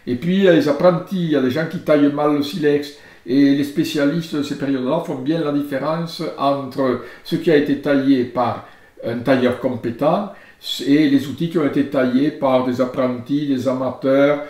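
An older man with a southern French accent, an archaeologist speaking thoughtfully in a filmed interview in his book-lined study, gesturing and pausing with hesitations. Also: medium pitch, steady tone, a little mellow. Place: study lined with bookshelves, indoors. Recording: roomy study sound.

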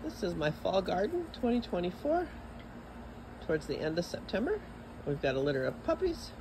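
A man with a Texan accent giving a relaxed, chatty tour of his backyard garden, filmed on a phone as he walks. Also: normal speed. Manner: relaxed, chatty, lively rises in pitch. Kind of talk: casual walking garden tour. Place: outdoors in a suburban backyard garden. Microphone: phone camera held while walking. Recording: outdoor phone audio with clear background noise.

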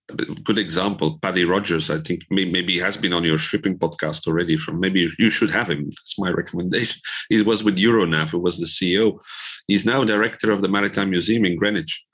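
A man's voice: sits low, a bit bright, husky. A man in his fifties from Belgium answering in a conversational podcast interview, rephrasing as he goes. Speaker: interview guest, trade association director.

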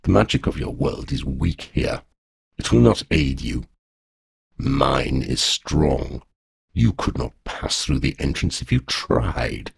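A vast, scheming she-dragon, voiced by a male storyteller, speaking slowly with rumbling, gloating menace. The voice is very low and gravelly. The recording is close and dry. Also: very animated, no echo, full character voice. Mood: menacing. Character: huge scheming female dragon, smug and menacing.

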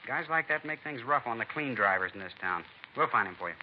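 An actor playing an earnest, defensive young American hot rod club member in a 1950s radio drama, talking slangy and insistent. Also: male voice, mid-range voice, lively intonation, fast talker, lightly acted. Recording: dull 1950s radio recording, faint noise.

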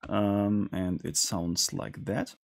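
Low voiced guy doing a casual studio tutorial, bit gravelly, kinda halting. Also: little pitch movement. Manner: hesitant, halting delivery. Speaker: tutorial host. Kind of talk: casual screen-share music production tutorial. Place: indoor music studio.

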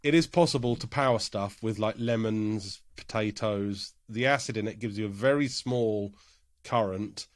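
A man's voice: fairly low pitch, moderate pace, no echo, studio quality. Stuffy-voiced man chatting on a podcast. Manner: chatty, joking, trails off with fillers. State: congested from a cold. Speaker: podcast co-host. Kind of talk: casual chat.

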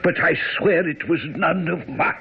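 An actor playing a dying, guilt-ridden old army major in a radio drama, weak and short of breath. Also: male voice, on the high side, normal speed, vocal fry, slightly rough, heavily acted. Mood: weak, confessing. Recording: narrow, noisy old radio broadcast.

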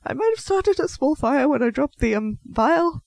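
A man plays a clumsy male half-elf alchemist for a fantasy audio roleplay. The voice is high and very animated, recorded dry and close. He fusses politely, stumbling over words and apologizing like a scatterbrained shopkeeper. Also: moderate pace, creaky, dry recording, full character voice. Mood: frazzled. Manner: flustered, stammering, apologetic, politely chatty. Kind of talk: scripted fantasy audio roleplay addressed to the listener.

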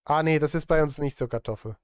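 A man's voice: fairly high pitch, quick pace, dry recording, clean studio sound. A man, chatting casually and conversationally as a livestreamer speaking straight to his viewers. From Switzerland. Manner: conversational, addresses chat directly. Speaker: livestreamer and film music composer. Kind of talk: casual livestream chat while working. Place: indoor home studio.

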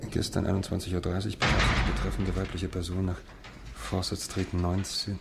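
An actor playing a sober, sceptical lawyer with a dry, matter-of-fact manner, in a radio drama. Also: male voice, sits low, completely flat delivery, brisk, gravelly, very noisy. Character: sober, sceptical male lawyer, colleague and narrator. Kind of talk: German radio drama acting.

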